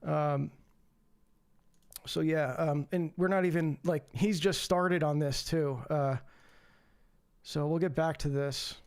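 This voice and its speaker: A man's voice: a little high, steady tone, takes it slowly, long pauses, smooth. A man hosting a casual call-in livestream, talking loosely with many fillers and restarts into a home streaming mic. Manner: rambling, lots of fillers and restarts. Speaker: livestream host.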